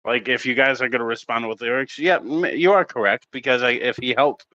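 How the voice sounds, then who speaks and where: A tired man chimes in with a bright, sharp-edged voice, hesitant and laid-back as he interjects. His sound comes through a bit muffled and lo-fi, dry with no room echo. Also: medium pitch, brisk. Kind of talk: casual podcast chat.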